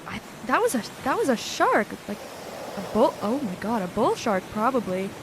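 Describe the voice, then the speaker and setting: A woman's voice: on the high side, very animated. Woman acting an anxious hostage, noisy backdrop. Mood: panicked. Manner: anxious, halting, pleading. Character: frightened young woman held hostage on a boat. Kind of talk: audio drama acting.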